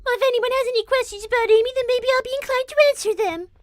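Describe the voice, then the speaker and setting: A woman's voice: extremely high pitch, rapid-fire, dry recording. A woman voicing a young girl rabbit, a prim, indignant schemer who speaks politely and formally in improvised cartoon roleplay. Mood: indignant, huffy. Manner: polite, prissy, formal wording.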